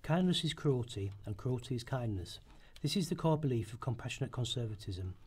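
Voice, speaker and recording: Slightly husky man reading formal narration. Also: medium pitch, animated, a little fast, darkish tone. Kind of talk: read scripted narration in rehearsal.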